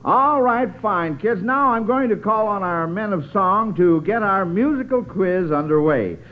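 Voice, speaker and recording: A man's voice: high voice, animated, measured pace. An American man hosting a vintage radio quiz for children in a chatty, avuncular way. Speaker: quiz show host. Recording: tinny vintage radio broadcast.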